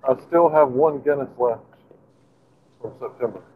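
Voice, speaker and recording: A man's voice: medium pitch, moderate pace. A man chatting casually in an online group hangout, plainspoken with wry remarks. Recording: tinny phone-like call audio.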